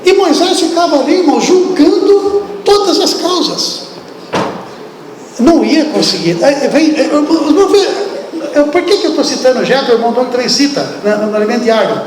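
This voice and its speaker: High-voiced Brazilian preacher in an echoey hall. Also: male voice, fairly quick, slight background noise. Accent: Brazilian Portuguese. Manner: animated, acts out biblical speech, asks the congregation questions. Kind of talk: sermon. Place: church hall. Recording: echoey hall, distant room.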